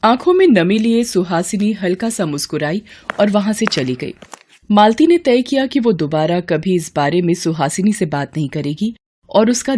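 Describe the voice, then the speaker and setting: Female storyteller, scripted narration, expressive, mid-pitched, highly animated intonation. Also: slight background noise.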